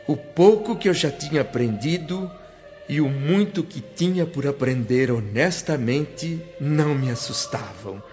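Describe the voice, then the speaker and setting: A man's voice: on the high side, lively intonation, a little slow, a little mellow. A man playing a humble, devout spirit who narrates a radio drama with reverent, emotive expression. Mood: reverent, moved. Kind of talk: dramatic radio-play first-person narration. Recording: dull lo-fi audio with music behind. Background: clear background music.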